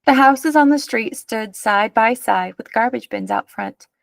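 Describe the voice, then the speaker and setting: A woman reading a children's picture book aloud as an expressive narrator. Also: fairly high pitch, unhurried, vocal fry, no echo.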